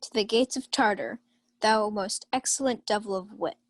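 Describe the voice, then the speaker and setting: A woman's voice: medium pitch, moderate pace, natural voice. A young woman acting a boisterous male reveller who throws scoffing asides in a Shakespeare radio comedy. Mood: amused. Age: young adult. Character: boisterous male reveller spying on a gullible rival. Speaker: student actor. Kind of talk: Shakespeare comedy as 1940s-style radio play.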